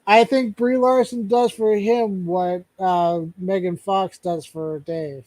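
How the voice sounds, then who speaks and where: A man's voice: high-pitched, steady tone, slow pace, darkish tone. A man hosting a casual livestream chat, joking around, laughing often and stumbling over his words. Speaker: streamer host.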